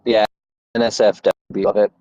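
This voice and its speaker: A man co-hosting a casual podcast, talking in halting bursts with many fillers and restarts. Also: lowish voice, flat delivery, warm tone, no echo, highs cut off. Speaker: podcast co-host.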